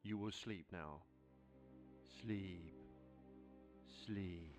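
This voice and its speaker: A man playing a learned, grave gentleman doctor in a video game, speaking formally and with measured calm. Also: low voice, over music. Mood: hypnotic, soothing. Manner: formal, explanatory. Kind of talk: scripted video game drama.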